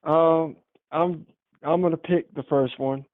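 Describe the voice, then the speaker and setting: A man calling in by phone, chatting casually in a hesitant, halting way. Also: on the high side, steady tone, no echo. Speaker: phone-in caller. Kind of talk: casual chat. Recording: muffled, narrow phone line.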